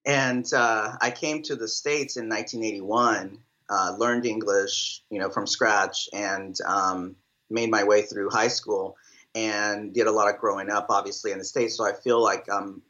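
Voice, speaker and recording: Salvadoran-born guy in his 50s rambling thru an interview, low bright voice, lots of ums, kinda dull lo-fi sound. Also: steady tone, measured pace. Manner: rambling, many fillers and restarts. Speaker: drummer, interview guest. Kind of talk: casual interview answers.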